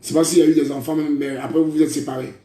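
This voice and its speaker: A man's voice: a little high, little pitch movement, fast talker, warm tone. A man preaching a prophetic sermon, declaiming in repeated phrases and calling listeners brother. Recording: echoey room.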